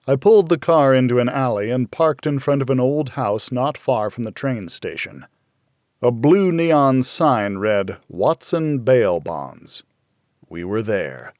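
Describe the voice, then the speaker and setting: Male narrator for noir thriller audiobook: brooding, slow-paced, very expressive range, tight dry studio sound. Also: mid-range voice, dry recording. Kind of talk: scripted first-person thriller narration.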